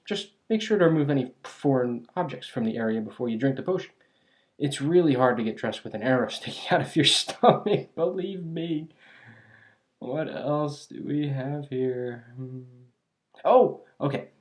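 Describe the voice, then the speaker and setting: A voice actor playing a clumsy, cheerfully eccentric alchemist shopkeeper in an ASMR roleplay, chatty and absent-minded, halting and trailing off. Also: mid-range voice, a little mellow, natural voice. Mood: upbeat, helpful, then musing, flustered. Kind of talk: ASMR roleplay, scripted character acting.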